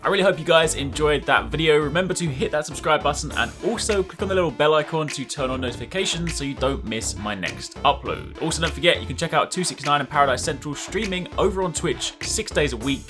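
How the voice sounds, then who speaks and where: A man's voice: fairly high pitch, expressive, fast talker, hardly any pauses, music in the background. A man hosting a gaming guide in an upbeat, chatty YouTuber style with emphatic stresses. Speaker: gaming video host. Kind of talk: scripted video game guide narration.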